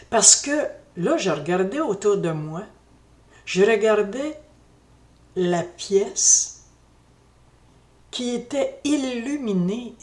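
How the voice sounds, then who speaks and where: A middle-aged woman tells a personal story to camera in a slow, reflective way, often pausing and restarting her sentences. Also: mid-range voice, darkish tone. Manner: slow, reflective, hesitant, with many fillers and restarts. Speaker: spiritual vlogger.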